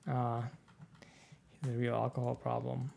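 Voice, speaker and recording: Very low, gravelly-voiced woman. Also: monotone, mellow, slight background noise. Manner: slow, thoughtful commentary. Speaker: game player. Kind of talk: casual chat.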